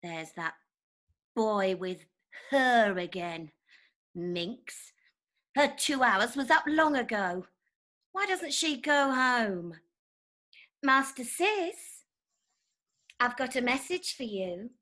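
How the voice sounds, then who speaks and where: Casting: woman as jealous young parlour maid, stage farce, high bright voice, sharp-tongued. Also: lively intonation, slow, long pauses, exaggerated voice. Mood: jealous, resentful.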